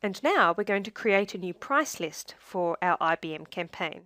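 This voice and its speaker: A woman narrating a scripted software tutorial as a demonstrator, in a measured, step-by-step instructional way. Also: lowish voice, lively intonation, no echo, studio quality.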